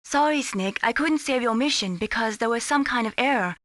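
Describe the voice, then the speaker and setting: High-voiced, apologetic young radio operator. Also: female voice, animated, a little fast, dry recording. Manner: apologetic, gentle. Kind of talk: video game voice acting.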